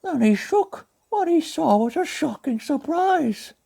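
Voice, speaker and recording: A man voicing a gentle, childlike, honey-loving cartoon bear who reads a storybook in a husky, polite, fretting manner. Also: very high voice, expressive, a little slow, pitched above the performer's own voice, heavily acted. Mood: gentle wonder. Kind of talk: storybook reading as cartoon impression.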